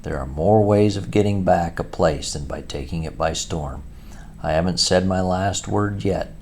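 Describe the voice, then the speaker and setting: A man's voice: low-pitched, moderate pace, a little mellow, a little hiss or hum. A man voicing a stern, dry-voiced old male badger in a storybook reading. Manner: severe, dry, thin-voiced, then kindly.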